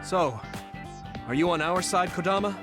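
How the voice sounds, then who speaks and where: An actor playing an earnest, troubled young soldier in a video game, speaking in a sincere, searching way. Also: male voice, high-pitched, moderate pace, over loud music, natural voice. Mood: puzzled, weary. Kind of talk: anime game dub acting.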